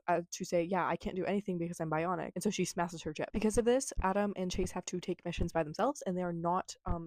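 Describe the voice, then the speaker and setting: A woman's voice: lowish voice, darkish tone, no echo. Chatty young woman, a bit congested. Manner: casual, chatty, many fillers, says "like" a lot. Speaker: vlogger. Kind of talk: casual recap from memory. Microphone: camera mic.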